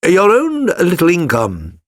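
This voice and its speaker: A man voicing a suave, courteous gentleman detective in a storybook reading, polite, assured and incisive. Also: sits high, wildly swooping pitch, takes it slowly, dry recording, clean studio sound, pitched above the performer's own voice, heavily acted. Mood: interested.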